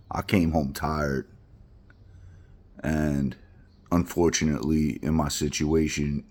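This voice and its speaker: A middle-aged man with an extremely low, husky voice. He speaks in a blunt, rambling confessional monologue, heartfelt and plain-spoken. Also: a little mellow. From Queens, New York. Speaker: vlogger.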